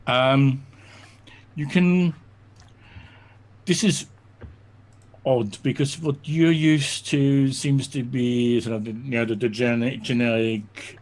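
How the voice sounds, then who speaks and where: A man narrating a tabletop game as game master, describing scenes in a halting way with many pauses and fillers. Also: mid-range voice, steady tone, darkish tone.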